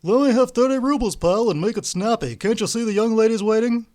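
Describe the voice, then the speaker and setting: A man voicing a brash young swindler who talks loud, brusque and mocking. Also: very high voice, fairly quick, voice pushed up. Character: brash smooth-talking young male swindler.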